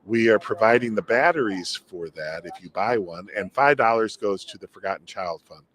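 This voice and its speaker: A man presenting a casual, unscripted live stream from a community event, talking in a halting, rambling way with trailing asides. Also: fairly low pitch, expressive, a bit bright, rough recording. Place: inside a hardware store at a public event.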